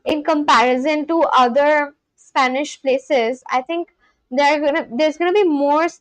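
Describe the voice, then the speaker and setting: A woman's voice: high-pitched, dry recording, low-quality recording. A woman livestreamer chatting casually and practising language phrases aloud, in a chatty way. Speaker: livestream host learning Spanish.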